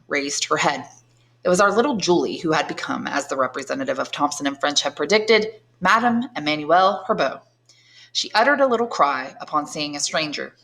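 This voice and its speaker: A woman, an English teacher, reading a classic novel aloud in steady, clear storytelling. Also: low voice. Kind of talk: audiobook narration.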